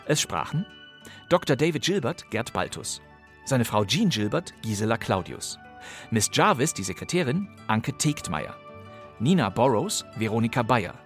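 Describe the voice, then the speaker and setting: A man's voice: mid-range voice, big pitch swings, moderate pace, soft background music. A man reading scripted cast credits as a radio announcer.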